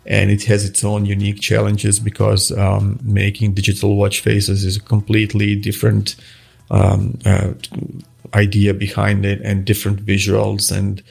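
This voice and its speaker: A man's voice: fairly low pitch, completely flat delivery, moderate pace, gravelly, darkish tone, slightly rough, soft background music. A middle-aged man from Croatia, a native Croatian speaker, answering interview questions in a hesitant, casual way with many restarts. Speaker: guest, watch face designer.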